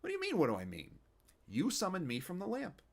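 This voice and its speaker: Brief: grumbling old wisecracking genie, loud and raised-up voice, bouncy questions, put on by a male reader. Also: sits high, huge pitch swings, fast talker, voice pushed up, heavily acted. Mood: impatient. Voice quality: kvetching, wisecracking, sing-song questions. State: out of breath, tired. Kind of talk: storybook read-aloud.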